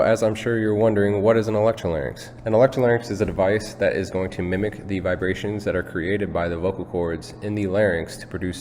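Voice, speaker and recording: A man's voice: sits low, darkish tone. A young man giving a prepared student project presentation and demonstration. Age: young adult. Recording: hollow hall reverb, background hum.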